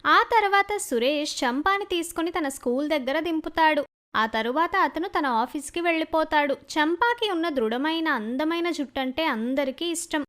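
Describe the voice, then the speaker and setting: Female narrator for children's stories, high-pitched, scripted delivery. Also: a bit bright.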